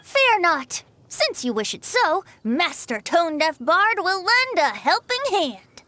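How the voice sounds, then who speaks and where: A woman's voice: extremely high pitch, big pitch swings, slow pace, sharp tone, exaggerated voice. A woman voicing a playful, breezy young male bard with a lilting, theatrical delivery in a video game. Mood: amused. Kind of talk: video game cutscene acting.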